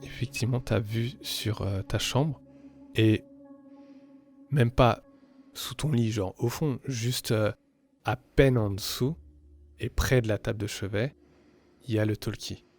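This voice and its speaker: A man improvising narration as game master of a tabletop horror game, halting and pausing often between phrases. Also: fairly low pitch, darkish tone.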